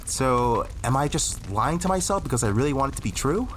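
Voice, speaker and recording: A man's voice: medium pitch, brisk, a little hiss or hum, lightly acted. A young male actor playing an insecure youth who rambles, swears and frets about whether he is special. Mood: anxious. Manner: anxious, rambling, swearing, animated. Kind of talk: audio drama acting.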